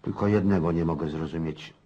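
An actor playing a blustering, self-important old countryside landowner in a radio play, rambling and folksy with a husky voice. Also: male voice, very deep voice, fairly even intonation, a little fast, mellow, muffled recording. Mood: puzzled musing.

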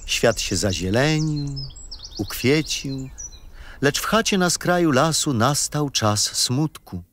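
An actor playing a kindly grandfather who tells his grandchildren bedtime stories gently and expressively in a children's radio play. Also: mid-range voice, expressive, slow, a little hiss or hum. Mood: solemn storytelling.

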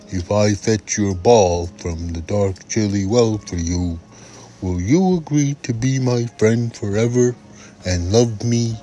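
Man voicing a slow, scratchy, timid little frog. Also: on the low side, warm tone. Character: timid, lonely little male frog, earnest and pleading.

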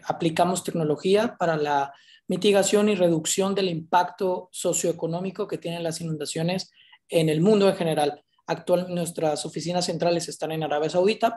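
Brief: man in his thirties, eager video-call panelist, warm mellow tone, headset mic. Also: fairly high pitch. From Mexico. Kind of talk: personal introduction on a video call.

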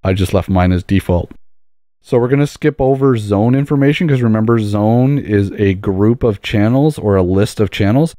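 Male tutorial narrator, relaxed dark timbre, dry close-miked sound, explanatory delivery that underlines key points by repetition. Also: fairly low pitch, normal speed, no echo. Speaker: tutorial presenter. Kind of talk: step-by-step software tutorial narration.

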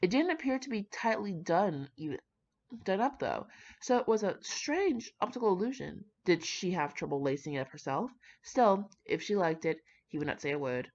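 A woman's voice: on the low side, sing-song, moderate pace, a little mellow. Brash young woman, muffled lo-fi recording. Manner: brash, slangy, profane. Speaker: fan fiction reader. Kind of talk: reading fan fiction aloud with casual commentary.

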